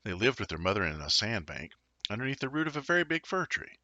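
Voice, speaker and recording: Male storyteller for a children's tale: low pitch, very bright and forceful, playful dramatic emphasis. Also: big pitch swings, fast, no echo, muffled recording. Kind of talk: expressive children's storybook narration.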